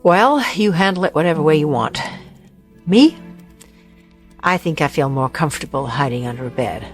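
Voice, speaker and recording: A woman's voice: sits low, animated, normal speed, soft background music. An actress playing a wary, guarded woman in a naturalistic radio drama, anxious and dryly sardonic, sometimes hesitant.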